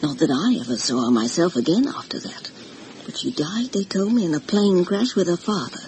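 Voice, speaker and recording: Actress as older female housekeeper, plain-spoken, brooding, sorrowful, halting, radio ghost drama, clear background noise. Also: lowish voice, animated, darkish tone, natural voice. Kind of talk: vintage radio horror drama acting. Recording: noisy old radio drama recording.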